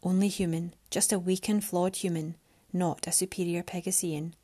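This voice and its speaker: A woman's voice: a little high, normal speed, a little mellow, close to an everyday voice. A woman voicing a defiant, frightened young woman who speaks with rising heat.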